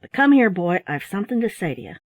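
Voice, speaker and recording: A woman voicing a rough but kindly male sailor in his early thirties, full of bluff, hearty seafaring talk in a storybook reading. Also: lowish voice, sing-song, fast talker, a little mellow, dry recording. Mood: gruff.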